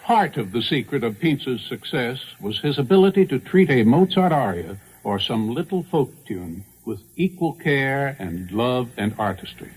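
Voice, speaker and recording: Male program host, mid-pitched and very animated in intonation, reading scripted commentary. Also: normal speed, few pauses, a little hiss or hum, rough recording. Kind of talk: scripted introduction and commentary on classical singing.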